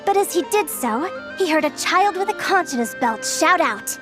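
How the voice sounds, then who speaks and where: An actress playing a gentle, scholarly young woman in a game, telling stories in a measured, articulate and enthusiastic way. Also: very high voice, lively intonation, over music. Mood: storytelling, composed. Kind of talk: storytelling in an English game dub.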